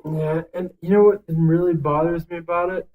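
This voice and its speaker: Man, higher-pitched voice, casual podcast co-host, blunt, cursing, emphatic opinions. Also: steady tone, normal speed, phone-quality sound. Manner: blunt, foul-mouthed. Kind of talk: casual podcast chat, ranking list.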